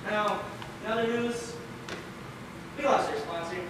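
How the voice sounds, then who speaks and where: A young man acts a bossy, self-important radio chief on stage. His voice echoes heavily around the hall, picked up from far away with clear background noise. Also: high-pitched, unhurried. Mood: brisk, officious. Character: fussy, bossy, self-important male radio show boss. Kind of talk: amateur stage play acting. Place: theatre stage. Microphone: distant stage microphones.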